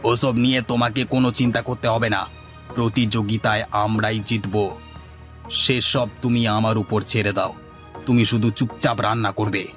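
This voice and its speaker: A man playing an eager, flattering, scheming village milkman husband in a cartoon, with theatrical exclamations. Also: on the low side, moderate pace, natural voice. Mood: scheming, insistent. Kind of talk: Bengali cartoon dialogue. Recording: music bed behind the voice.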